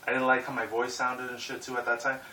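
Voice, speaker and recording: A man's voice: medium pitch, level tone, fast, sharp tone. A young man in his twenties who rambles through a casual vlog, swearing a lot and leaning on fillers. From Puerto Rican and Mexican heritage. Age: 20s. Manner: rambling, profane, filler-heavy. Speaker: YouTuber. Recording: roomy echo, mic at a distance, faint hiss.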